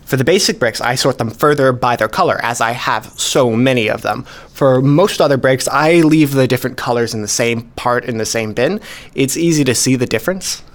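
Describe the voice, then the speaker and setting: A man's voice: mid-range voice, fairly quick, lightly acted. An actor playing a modest, precise male hobbyist collector, explaining things methodically in a radio play. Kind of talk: scripted dialogue, explaining.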